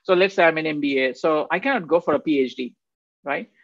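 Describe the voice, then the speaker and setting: A man's voice: a little high, fast, gravelly, fairly bright tone, dry recording. A man in his fifties from Bangladesh, giving a rambling, conversational faculty lecture from home, often checking in with "right?". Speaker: guest speaker. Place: home office. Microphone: video call. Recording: dull, dry video-call audio.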